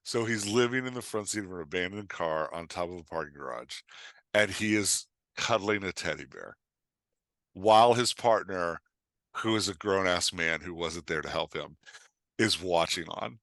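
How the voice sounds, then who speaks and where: Male author-coach, middle-aged. Bright, forceful, lowish voice, dry close sound. Emphatic conversational explainer. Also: expressive, moderate pace, no room sound. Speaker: author and writing coach, podcast guest. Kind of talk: animated conversational explanation and storytelling.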